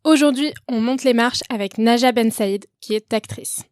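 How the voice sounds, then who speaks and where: A woman's voice: on the high side, moderate pace, a little mellow, dry recording, studio quality. Friendly woman podcast host. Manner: friendly, direct, addresses listener informally. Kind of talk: scripted podcast intro, then interviewing.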